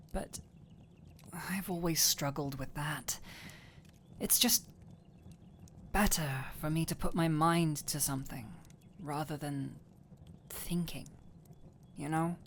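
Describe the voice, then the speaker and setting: A gentle fantasy alchemist voiced by a woman speaks slowly in a slightly low register. The delivery is soft and hesitant, often sinking toward a whisper, with an anxious yet tender and teasing temper suited to intimate roleplay audio. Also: a little hiss or hum. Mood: worried, confiding. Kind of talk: roleplay audio drama, intimate one-sided dialogue.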